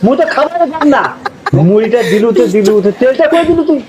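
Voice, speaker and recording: A man's voice: extremely high pitch, expressive, fast talker, a loud crowd. A man playing an exasperated, complaining visitor in a comedy skit, exclaiming in a rural North Bengal accent. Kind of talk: comedy skit acting in dialect.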